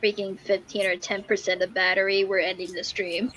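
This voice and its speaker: A woman's voice: medium pitch, level tone, a little fast, a bit bright. A woman chatting casually as she streams a video game. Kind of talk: casual livestream chat. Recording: muffled stream microphone.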